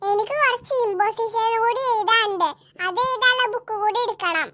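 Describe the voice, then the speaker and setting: A performer voicing a naive, chatty, food-obsessed little boy in a comedy cartoon, with a childlike squeaky voice and eager questions. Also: fairly quick, sharp tone. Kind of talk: Malayalam comedy cartoon dialogue.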